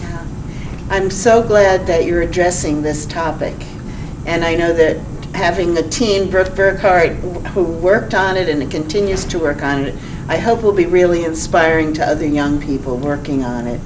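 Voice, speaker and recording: A woman's voice: lowish voice, moderate pace, distant mic, noisy background. A woman speaking earnestly and with emphasis as a guest on a talk show.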